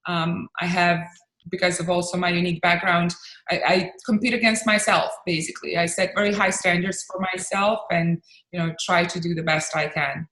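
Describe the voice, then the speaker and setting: An earnest woman from Bosnia and Croatia, a real estate agent being interviewed. Her voice sits a little low, and she speaks in a measured way, pausing to pick her words. The recording carries a roomy echo. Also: fairly even intonation, fairly bright tone. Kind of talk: interview conversation.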